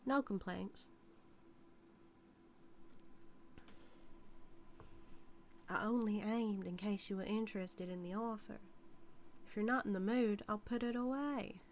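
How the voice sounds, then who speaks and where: An obsessive outlaw cowgirl, played by a woman, speaks at a middle pitch straight to her listener in an audio drama. She is teasing and dominant, with folksy Western phrasing and a sweetly menacing devotion. Some background noise sits behind her. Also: normal speed, darkish tone, close to an everyday voice. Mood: devoted, menacing. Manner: drawling folksy Western talk, teasing, calls listener darling. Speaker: audio roleplay performer.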